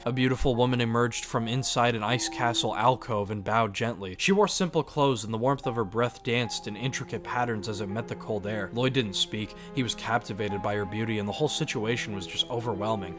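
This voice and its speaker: A man's voice: medium pitch, a little fast, hardly any pauses. A man reading scripted fantasy adventure narration as the storyteller. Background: music.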